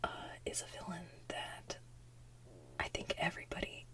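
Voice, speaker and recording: A woman who hosts ASMR videos, speaking in a hushed, breathy whisper with a playful, giggly manner. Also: normal speed, poor audio. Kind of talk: whispered ASMR chat and soft singing.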